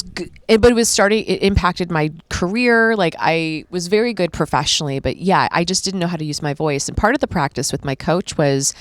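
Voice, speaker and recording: A woman's voice: lowish voice, dry recording, clean studio sound. A woman in her late thirties, a stand-up comic, talking candidly and at length in a casual podcast chat. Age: 30s. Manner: candid, rambling, self-reflective. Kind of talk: casual conversation.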